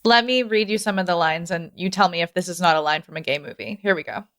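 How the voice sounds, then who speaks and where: A woman's voice: on the low side, fast, fairly bright tone, dry recording, clean studio sound. A woman co-hosting a podcast in casual, informal, giggly chat. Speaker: podcast co-host.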